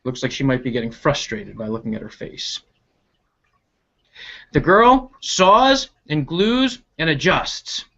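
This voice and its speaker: A storyteller reading a picture book aloud to children in a deliberate, expressive way, stretching out key words. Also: medium pitch, dull audio. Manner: animated, deliberate, stretching key action words, with asides to listeners.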